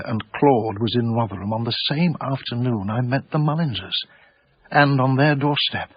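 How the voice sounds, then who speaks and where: A smooth-talking, somewhat rueful art dealer in a radio play. He is well-spoken and careful, in a medium-pitched voice with slightly dulled broadcast sound. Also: dry recording, muffled recording. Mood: rueful, narrating. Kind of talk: radio drama dialogue and narration.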